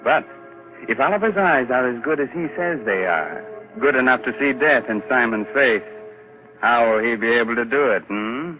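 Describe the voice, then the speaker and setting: A man's voice: medium pitch, expressive, moderate pace, soft background music. A man hosting a 1940s radio mystery with theatrical, sardonic, mock-sinister, coaxing narration. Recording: muffled 1940s radio recording.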